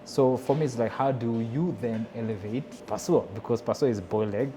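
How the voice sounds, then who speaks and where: A man's voice: medium pitch, brisk, noisy background. A man from Nairobi with a Kenyan accent, talking casually and conversationally as a chef being interviewed. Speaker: chef and restaurant owner.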